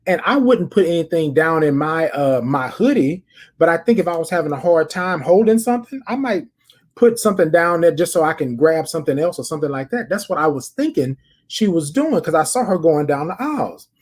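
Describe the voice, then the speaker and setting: An American man with a fairly high-pitched voice talks as a podcast host. He speaks casually and directly to his listeners, as if checking in with them. He is emphatic, often repeating a phrase to press the point. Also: expressive, a little fast, darkish tone. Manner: addresses listeners directly as an audience. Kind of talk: casual chatty check-in and storytelling.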